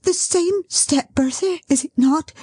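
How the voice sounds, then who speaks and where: A high, fluttering young wife, as the woman reading puts her on, talking fast and breathlessly when news arrives. Her voice swoops up and down and carries a husky, breathy edge. She sounds close and dry, like a storybook reading. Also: big pitch swings, mellow, no room sound, full character voice. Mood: ecstatic. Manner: rushed, fluttering, high-strung.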